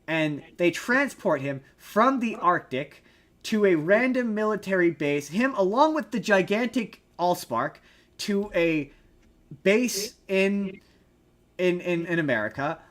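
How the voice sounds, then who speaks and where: A man's voice: high voice, lively intonation, takes it slowly, a bit bright. A man co-hosting a podcast, talking casually and opinionatedly about film in an emphatic, rambling, argumentative way. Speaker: podcast co-host.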